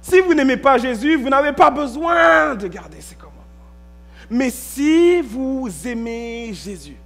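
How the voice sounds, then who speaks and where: A male pastor preaching a sermon in a church, stressing key words and drawing them out, at times rising to a shout. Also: extremely high pitch, expressive, measured pace.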